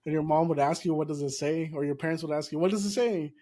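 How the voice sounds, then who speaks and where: A man's voice: a little high, fast talker, warm tone. A man from California whose first language is Spanish, a comedian guest telling stories in casual chat and swearing casually. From California, USA.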